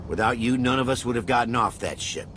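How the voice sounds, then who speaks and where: A man's voice: medium pitch, quick pace, fairly bright tone, faint music behind, lightly acted. An actor playing a friendly, plainspoken ex-military crewman in a video game cutscene. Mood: sincere, grateful. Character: grateful, friendly male spacer, ex-military crewman.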